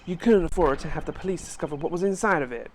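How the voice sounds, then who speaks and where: A woman's voice: low voice, fast talker, darkish tone, a little hiss or hum, lightly acted. A woman voicing an earnest, accusing male defense lawyer in a courtroom game.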